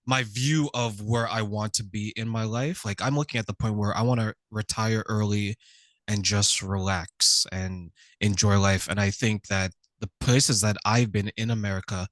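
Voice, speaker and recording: A man from Quebec, Canada, answering as a relationship coach in a conversational podcast interview, explaining things in a reflective, measured way. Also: fairly low pitch, steady tone, a bit bright, no echo.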